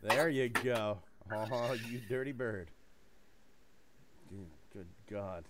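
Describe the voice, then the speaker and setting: A man co-hosting a casual podcast, loud and profane, joking and mocking as he talks. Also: on the low side, takes it slowly, heavy background noise. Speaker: podcast co-host. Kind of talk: crude casual podcast chat.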